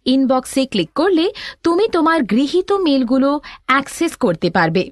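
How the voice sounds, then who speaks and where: A woman's voice: high-pitched, no echo, clean studio sound. A woman giving scripted instructional narration, explaining things step by step as a tutorial instructor.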